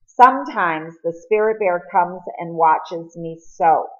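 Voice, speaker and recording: A troubled teenage boy voiced by a woman audiobook reader speaks in a lowish voice, earnest and full of remorse. He confesses seriously and haltingly, on a somewhat muffled recording. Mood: subdued.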